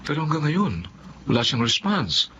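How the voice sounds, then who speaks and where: A friendly middle-aged man chats casually with an animated pitch and a Filipino accent. It is radio drama acting, slightly dull, with faint noise. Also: moderate pace. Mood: gossipy, concerned. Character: chatty, friendly middle-aged former classmate.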